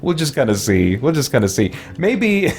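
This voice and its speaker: A man's voice: mid-range voice, very animated, normal speed, darkish tone. A man giving casual gaming commentary as a let's play host, rambling and thinking aloud over menus.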